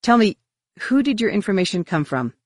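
A woman voicing a calm, authoritative male leader of space knights in an audiobook. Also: mid-range voice, a little fast, no echo, clean studio sound, voice pushed up. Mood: probing. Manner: smooth, authoritative.

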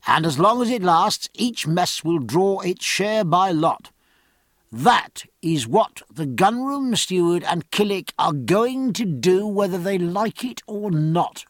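A man's voice: sits high, lively intonation, slow, no echo, studio quality, pitched above the performer's own voice. A man voicing a bold, commanding sea captain in an audiobook, speaking in hearty, emphatic speeches to his crew. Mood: firm, resolute. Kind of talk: audiobook character acting.

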